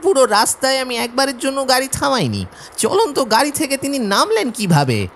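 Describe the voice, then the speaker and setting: A man's voice: very high-pitched, big pitch swings, brisk, fairly bright tone, a little hiss or hum, exaggerated voice. An actor playing a bewildered coachman, baffled and protesting, in an audio drama. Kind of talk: Bengali audio drama acting.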